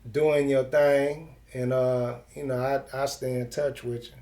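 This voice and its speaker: A man's voice: mid-range voice, flat delivery, measured pace, warm tone. A middle-aged man, interviewing in a casual, slangy and profane street-talk style. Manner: informal, slang-heavy, profane.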